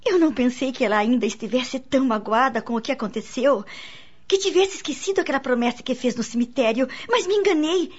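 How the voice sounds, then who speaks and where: A woman's voice: high voice, fairly quick, breathy edge. An actress playing a troubled, emotional woman confiding in a friend in a radio drama, with a Brazilian accent. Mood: anxious, distressed. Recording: dull, lo-fi radio drama recording.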